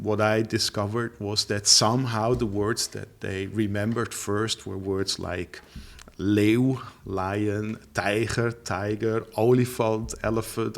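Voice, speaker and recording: A middle-aged man from the Netherlands with a Dutch accent, a language teacher telling his story in an interview, chatty with frequent laughs and fillers. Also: fairly low pitch. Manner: laughs often, many fillers and restarts, chatty. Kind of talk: interview guest, casual storytelling.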